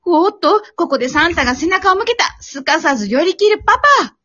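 A woman acts out a bossy, playful mother in a comedy radio drama. Her voice is strikingly high, delivering brisk mock-serious ringside commentary. The recording sounds a touch muffled. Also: sing-song, bright, forceful tone, exaggerated voice. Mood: mock excitement.

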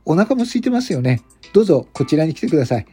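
A man's voice: fairly high pitch, sing-song, very fast, warm tone, husky, voice pushed up. A man voicing a young, friendly, unpretentious company president in an amateur radio drama. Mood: friendly, welcoming. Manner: chatty, polite, unpretentious. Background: background music.

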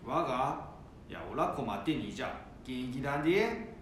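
A man's voice: lively intonation, moderate pace, fairly bright tone, natural voice. Man playing echoey old grandfather with Nanbu dialect. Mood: blunt, worried. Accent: Nanbu dialect of northern Japan. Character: blunt, sturdy old Japanese grandfather worried for his son. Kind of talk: amateur radio drama acting. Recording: very echoey room, faint rumbling noise.

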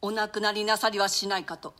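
A woman's voice: mid-range voice, fairly even intonation, brisk, bright, forceful tone, natural voice. A woman acting a stern, grieving old duchess and grandmother in a classic stage play, speaking in a theatrical, archaic manner. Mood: gentle scolding. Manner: lamenting, theatrical, admonishing.

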